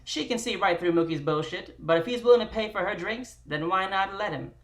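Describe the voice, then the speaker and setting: A woman's voice: mid-range voice, fairly quick. A woman hosting a live-streamed table read, introducing it and narrating from a script.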